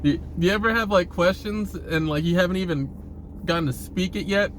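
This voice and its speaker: A man giving a casual, rambling monologue to viewers, halting and repeating himself, with informal, sweary phrasing. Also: sits high, a little hiss or hum. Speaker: vlogger.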